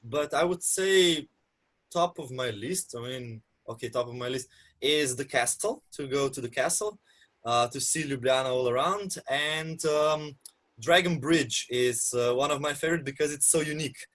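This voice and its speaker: A young man from Slovenia chatting casually as an interview guest, relaxed and full of ums and soft laughs. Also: medium pitch, moderate pace. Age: young adult.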